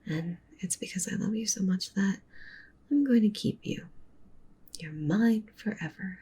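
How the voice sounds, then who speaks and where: A woman playing a doting, possessive wolf witch girlfriend in an ASMR roleplay, speaking softly and breathily, often in a whisper. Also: mid-range voice, unhurried, a little hiss or hum, close to an everyday voice. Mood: possessive, menacing. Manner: cooing, doting, with possessive menace. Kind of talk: ASMR roleplay, intimate soft speech and whispering.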